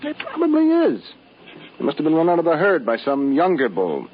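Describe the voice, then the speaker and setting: An actor playing a genial, optimistic adventurer in a 1950s radio drama, with a drawling, sentimental and excitable delivery. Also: male voice, fairly high pitch, a little fast, breathy edge, full character voice. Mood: wistful, fond. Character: genial, optimistic adventurer fond of wild beasts. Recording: dull, noisy 1950s radio recording.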